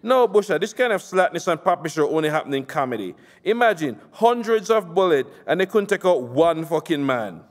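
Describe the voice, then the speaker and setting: Man voicing a raging Jamaican crime boss, scornful, rough-tongued, raised pitch, reverberant hall. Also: big pitch swings, a little fast, hardly any pauses. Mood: furious scorn. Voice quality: rough, street slang, swearing. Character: foul-mouthed male Jamaican gang boss, furious, scornful.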